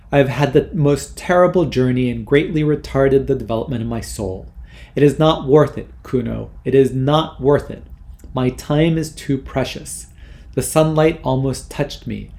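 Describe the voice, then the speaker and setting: A man's voice: mid-range voice, vocal fry, a little mellow, lightly acted. A man voicing a prim, haughty middle-aged mother who is devoted to the machine, speaking in a fussy, superior and indignant way.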